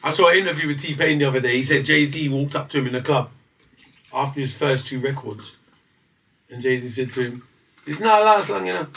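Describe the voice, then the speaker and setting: A man in casual chat, animated and laughing, talking about his songs and band. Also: medium pitch, brisk, creaky, distant mic, a little hiss or hum, rough recording. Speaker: musician guest.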